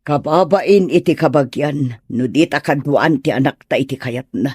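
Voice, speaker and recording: A woman's voice: extremely low pitch, moderate pace, a little mellow, husky, dry recording. A woman with a Filipino Ilocano accent acting a proud, disapproving middle-aged mother in an audio drama, haughty and complaining. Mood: reluctant, complaining. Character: disapproving, proud well-to-do mother opposing a wedding. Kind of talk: radio drama acting in dialogue.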